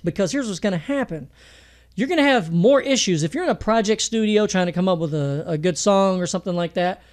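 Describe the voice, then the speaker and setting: A man with a thin voice teaching mixing in a home studio, talking casually with fillers and restarts. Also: high-pitched. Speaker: music producer teaching mixing. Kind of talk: screen-recorded tutorial talk.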